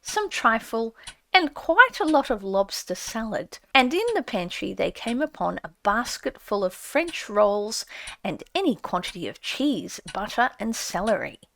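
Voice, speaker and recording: A woman's voice: a little high, big pitch swings, a little slow, vocal fry, fairly bright tone. Theatrical woman reading a storybook. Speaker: audiobook narrator. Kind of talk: dramatic audiobook reading.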